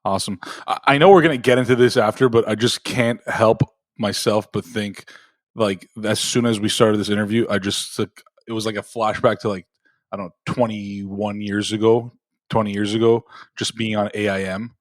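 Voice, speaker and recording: A man's voice: on the low side, fairly even intonation, moderate pace, no echo. An American man in his thirties hosting a podcast in a casual, chatty style. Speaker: podcast host. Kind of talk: casual chat and host-read ad.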